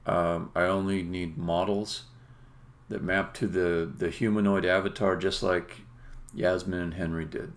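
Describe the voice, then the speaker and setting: Guy w a low gravelly voice doing a casual game dev stream, kinda halting, pauses mid sentence. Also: flat delivery. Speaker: game developer, stream host. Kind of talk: casual live demo commentary.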